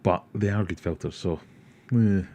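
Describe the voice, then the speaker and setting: A man's voice: low-pitched, normal speed, mellow, slight background noise. A Scottish man from Glasgow hosting an aquarium livestream, casually answering chat questions and rambling with self-deprecating asides. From Glasgow, Scotland.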